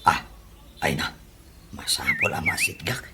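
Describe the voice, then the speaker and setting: A man's voice: very high voice, huge pitch swings, a little slow, whispered, exaggerated voice. An actor playing a comical, curious male buddy and tagalong flirt in a comedy radio drama, with a Filipino accent.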